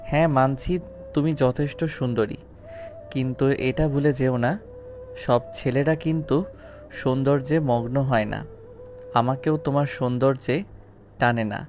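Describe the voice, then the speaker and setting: A man's voice: medium pitch, steady tone, normal speed, long pauses, darkish tone, soft background music, natural voice. A man playing a blunt, reluctant schoolteacher groom in an audio drama, speaking in a firm, lecturing way. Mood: stern, scolding. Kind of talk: Bengali audio story acting.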